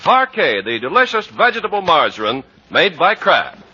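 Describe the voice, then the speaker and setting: American male announcer, 1940s radio pitchman, sharp, animated, husky-edged read, dull old-broadcast sound. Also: moderate pace. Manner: emphatic, polished salesmanship. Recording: muffled 1940s radio recording.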